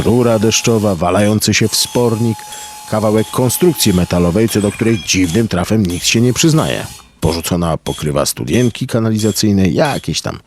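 Gravelly low-voiced male narrator. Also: slight background noise. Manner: rough working-class slang, gravelly and lively. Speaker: narrator and solo performer of a radio story. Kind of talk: dialect-heavy storytelling with acted voices.

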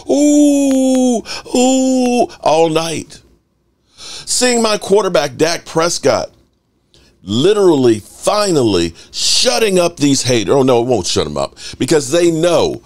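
Slow, lively, hoarse sports host. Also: male voice, medium pitch. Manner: animated, profane, drawn-out delivery. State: slightly hoarse. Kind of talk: fired-up fan commentary. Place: home studio room full of memorabilia. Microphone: desk microphone.

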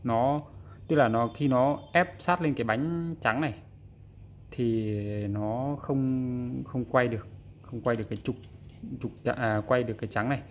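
A man's voice: medium pitch, unhurried. A man giving a casual, hands-on repair explanation in an informal, demonstrative way. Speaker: repairer presenting a repair video. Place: indoors at a repair workbench. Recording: background noise, room ambience.

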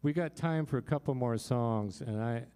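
Older guy joking with a crowd, mellow smooth voice, handheld mic. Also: medium pitch, moderate pace, in a large hall. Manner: relaxed, joking, chatty. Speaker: performer hosting a concert. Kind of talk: casual stage talk to an audience. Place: small hall, indoors. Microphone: handheld mic on stage.

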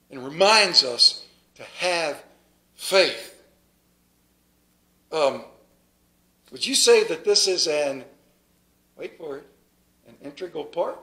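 A middle-aged man, a pastor, preaching a lively sermon in a small church, reading scripture and moving around the pulpit with dramatic pauses. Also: fairly high pitch. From United States. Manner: animated, moves about the pulpit, dramatic pauses. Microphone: stand mic at pulpit, far camera.

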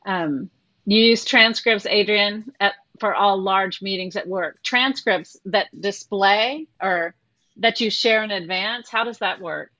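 A woman's voice: medium pitch, unhurried, bright, forceful tone, no echo, lo-fi sound. A woman presenting a talk over a video call, chatty and asking her listeners questions. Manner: conversational, asks the group questions.

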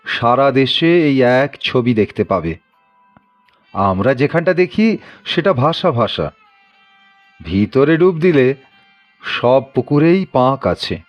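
A man's voice: mid-range voice, sing-song, slow, pauses often, muffled recording, faint music behind. A man playing a protective, world-wise husband in an audio drama, cautioning and reflective.

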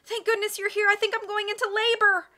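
A distressed, pregnant storybook woman stranded at sea, played by a woman narrator. She calls out in a strained, high, bright voice with faint music behind. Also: level tone, fast. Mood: desperate relief. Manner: strained, calling out.

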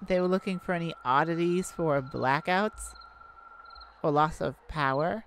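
A woman voicing a wounded fallen-star alien in a roleplay audio drama, proud, wry and bewildered by humans, speaking haltingly in slightly formal wording. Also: deep voice, slight background noise. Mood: relieved, wry.

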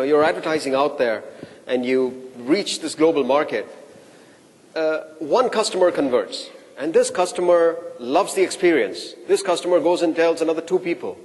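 A man hosts a conference in a somewhat high voice. He talks casually, stumbling over his words and repeating himself. His voice carries the long echo of a hall. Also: darkish tone. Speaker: event host introducing speakers. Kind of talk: conference emcee talk.

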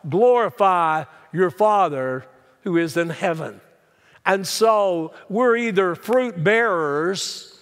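An older man preaching a sermon as a pastor, earnest and deliberate, stressing key words and posing rhetorical questions. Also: high-pitched. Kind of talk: sermon, Bible teaching. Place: large church sanctuary, speaking from the pulpit. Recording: reverberant church hall sound.

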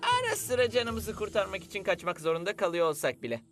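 A man voicing an upbeat, wry young hero in an animated cartoon dub. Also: high voice, a little fast, soft background music, heavily acted.

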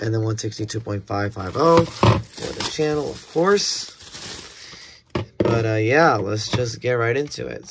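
A young American man chatting casually through a hands-on unboxing, often repeating words and restarting. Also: on the low side. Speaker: video creator unboxing weather radios. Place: indoors at a desk. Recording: clear background hiss and handling clicks.

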